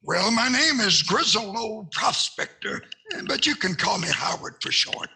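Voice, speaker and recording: A man playing a folksy, stammering old prospector with a raspy, creaky voice in 1940s radio comedy style. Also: sits high, very animated, unhurried, noisy background, full character voice. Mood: folksy, hesitant.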